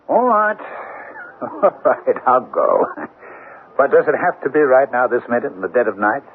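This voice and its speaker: An actor playing a rational, skeptical doctor new in town in a radio drama, brisk and dismissive. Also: male voice, medium pitch, big pitch swings, husky, phone-quality sound. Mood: reluctant. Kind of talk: 1970s radio drama acting. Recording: noisy, thin old radio broadcast.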